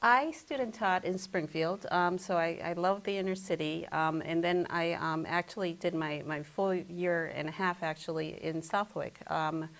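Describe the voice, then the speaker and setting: A woman in her fifties speaks as an interview guest. Her voice is a touch low for a woman. She answers conversationally, with a halting, hesitant delivery. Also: a bit bright. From born in Ecuador, raised in Massachusetts from age eight. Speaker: school principal, interview guest.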